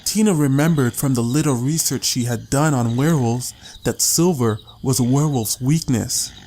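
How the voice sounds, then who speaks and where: Night ambience with crickets and some noise sits behind a man's voice. He narrates an audiobook story in a dramatic, scripted style at a middle pitch. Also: normal speed, a little mellow.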